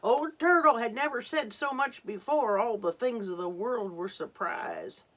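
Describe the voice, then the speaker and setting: A woman reading a story aloud to young children, dramatic and unhurried, dropping into hushed asides. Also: on the high side, low-quality recording.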